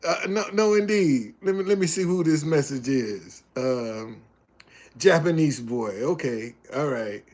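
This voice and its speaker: A man's voice: fairly high pitch, expressive, measured pace, a little mellow. A man in his seventies hosting a livestream, preaching about success and reading out viewers' messages in a folksy way. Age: 70s. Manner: folksy, preacherly, reads chat messages aloud. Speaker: livestream host. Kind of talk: casual livestream talk and preaching.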